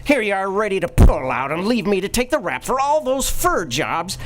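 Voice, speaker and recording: A woman's voice: mid-range voice, big pitch swings, normal speed, natural voice. A woman voicing a menacing, suspicious male crook in a live community radio play, talking in clipped tough-guy slang.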